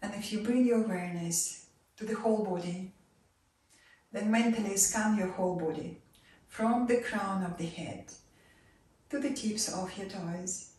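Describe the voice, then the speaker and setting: A woman leading a guided relaxation and meditation, giving soft, calm instructions that sometimes drop to a near whisper. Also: medium pitch, unhurried, long pauses, a little hiss or hum. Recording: roomy echo, voice far from mic.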